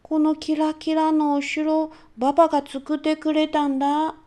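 Woman doing squealing excitable little girls. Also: normal speed, natural voice. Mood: delighted. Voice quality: high and squealing.

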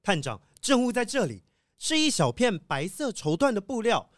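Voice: mid-range voice, very animated, normal speed, no room sound, studio quality, lightly acted. An actor playing an earnest, dutiful wolfdog police sheriff in a children's audio drama, speaking in a formal reporting manner. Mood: businesslike. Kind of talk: children's radio drama acting.

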